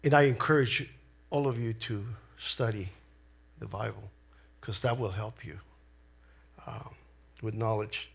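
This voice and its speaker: A middle-aged man from El Salvador with a Salvadoran Spanish accent, whose first language is Spanish, delivering a church sermon in an earnest, deliberate way. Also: on the low side, fairly even intonation, gravelly, breathy edge. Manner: earnest, slow and deliberate with pauses. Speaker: lay preacher.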